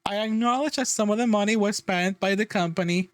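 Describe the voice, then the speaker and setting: A man's voice: sits high, a little fast, smooth, dry recording. A man livestreaming a casual, exasperated reaction rant, emphatic and freely swearing. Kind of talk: casual ranting reaction commentary.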